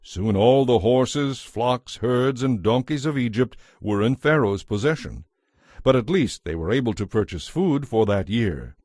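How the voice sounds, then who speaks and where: A man narrating scripture from a script in a measured, solemn manner. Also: mid-range voice, lively intonation, darkish tone, dry recording, clean studio sound. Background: music.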